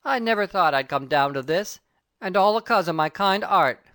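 A woman voicing a sentimental male burglar, talking loudly. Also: on the low side, lively intonation, moderate pace, dry recording, clean studio sound. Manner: loud, rough working-class speech.

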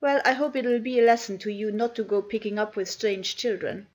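A woman voicing a stern, sharp-tongued woman servant who scolds children. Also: medium pitch, a little fast, breathy edge, natural voice. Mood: scolding.

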